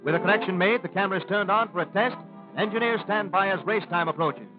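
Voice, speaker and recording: An American man narrates in the formal announcer style of a 1930s newsreel. His voice sits fairly high and comes through a thin, tinny old film soundtrack. Music plays clearly behind his scripted, declarative delivery. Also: fast.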